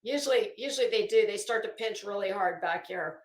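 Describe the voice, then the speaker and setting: A woman's voice: medium pitch, fairly quick, a little hiss or hum. A woman hosting a casual livestream commentary, with a sarcastic, mocking, profane way of talking. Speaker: livestream host. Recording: echoey untreated room.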